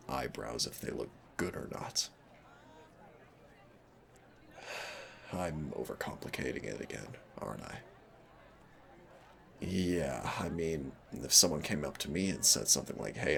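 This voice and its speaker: Male actor as shy young man, very deep voice, vocal fry, hesitant, self-deprecating, gentle, ASMR-style roleplay. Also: exaggerated voice.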